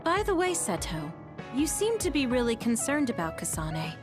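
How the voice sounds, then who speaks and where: A woman's voice: on the high side, wildly swooping pitch, normal speed, music in the background. An actress playing a friendly, caring, teasing young woman in a military squad in a video game. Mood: gentle. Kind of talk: English video game dub acting.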